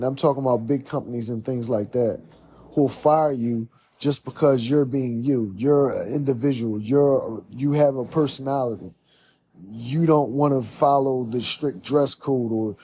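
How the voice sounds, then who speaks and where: A man's voice: medium pitch, warm tone, a little hiss or hum. A young man talking alone to a close camera in a small room, earnest and preachy, often repeating himself. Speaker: podcast host. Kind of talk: reflective monologue.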